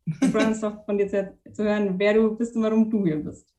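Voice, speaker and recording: A woman's voice: mid-range voice, level tone, fairly quick, dark tone. A woman moderating a panel in a casual, friendly way, laughing easily. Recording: distant mic in echoey room.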